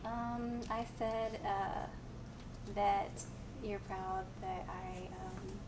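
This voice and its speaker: A woman's voice: on the high side, steady tone, extremely slow. A young woman talking casually in an interview, filling her sentences with "like". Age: young adult. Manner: chatty, many "like" fillers. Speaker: interviewee, daughter. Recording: noisy ambience.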